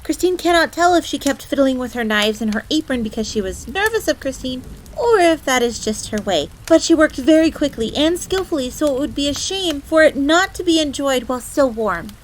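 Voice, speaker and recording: A woman's voice: high voice, a little fast, low-quality recording. A woman playing a proud, easily flustered fox-girl maid in a roleplay audio drama, speaking formally in the third person with stammers. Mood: composed, polite. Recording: steady rain noise throughout. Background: rain ambience.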